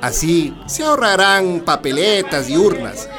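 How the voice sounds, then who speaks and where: Bureaucrat villain for a radio play, a stiff, condescending official, faint music under. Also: male voice, high-pitched, big pitch swings, unhurried, a crowd in the background. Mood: dismissive. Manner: formal, dismissive. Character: haughty male government official, ministry delegate.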